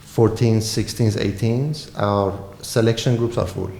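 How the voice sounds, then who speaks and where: A man's voice: lowish voice, steady tone, measured pace, vocal fry, warm tone, roomy sound. A man with an Iranian accent, a football coach speaking frankly and emphatically in a podcast interview. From Iran.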